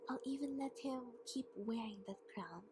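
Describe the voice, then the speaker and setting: A woman's voice: fairly high pitch, moderate pace, noisy background, natural voice. A woman playing a haughty, teasing vampire queen in an ASMR roleplay, often half-whispering in a taunting, mock-polite way. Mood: commanding, menacing. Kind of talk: ASMR roleplay, scripted monologue.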